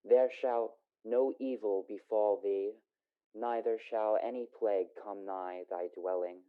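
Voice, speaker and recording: An actor playing an earnest, gentle young son of a poor family in a solemn radio play. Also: male voice, on the low side, steady tone, a little slow, clean tone, natural voice. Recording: tinny phone-like lo-fi sound.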